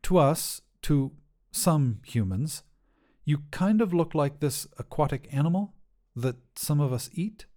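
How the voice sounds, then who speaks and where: A man's voice: on the high side, expressive, measured pace, mellow, voice pushed up. A man voicing an awkward, tactful human woman on a cargo crew in an audio short story, speaking hesitantly and carefully.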